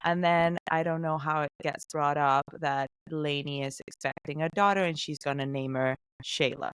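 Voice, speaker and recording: Low smooth-voiced woman podcast host. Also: moderate pace, no room sound. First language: not English. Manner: animated storytelling, acts out characters' lines. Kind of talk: casual podcast recap.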